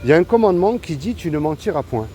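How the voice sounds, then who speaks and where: A man evangelizing in a street interview, asking passersby direct questions and slowing down to stress his points. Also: a little high, lively intonation, mellow. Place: city street outdoors. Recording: street noise behind.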